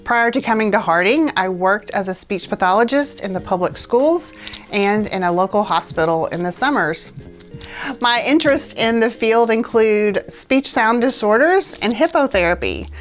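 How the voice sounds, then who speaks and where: A woman introducing herself as a speech pathology professor in a clear, composed way. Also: mid-range voice, lively intonation, normal speed, faint music behind. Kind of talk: scripted self-introduction.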